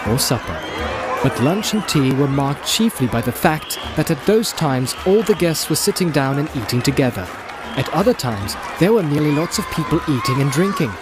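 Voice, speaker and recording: A man reading a story as an audiobook narrator, theatrical and deliberate in his phrasing, in a dramatic storytelling style. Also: medium pitch. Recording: home-made, music and crowd sound mixed in. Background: music, crowd and outdoor sound effects.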